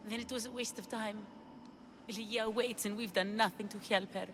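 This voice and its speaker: A woman's voice: on the high side, steady tone, normal speed, noisy background, lightly acted. A woman playing a grieving, heartfelt lover. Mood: anxious. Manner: earnest, heartfelt, anxious. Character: grieving young woman longing for her lost lover. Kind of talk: video game cutscene acting.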